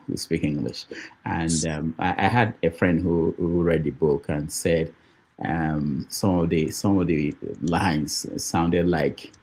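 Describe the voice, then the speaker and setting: Low husky man. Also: a little mellow. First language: Igbo. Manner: hesitant, many fillers and restarts, laughs easily. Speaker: guest poet. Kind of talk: conversational book discussion.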